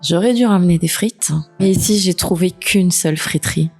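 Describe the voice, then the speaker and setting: Low-voiced woman chatting casually. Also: normal speed, darkish tone, soft background music. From northern France. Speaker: podcast guest. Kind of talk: casual chat.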